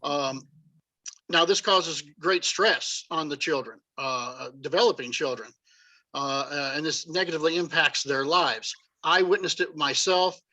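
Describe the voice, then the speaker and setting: A man telling his personal story as a talk-show guest, halting with frequent fillers. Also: a little high, bright, forceful tone, low-quality recording. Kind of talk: personal testimony.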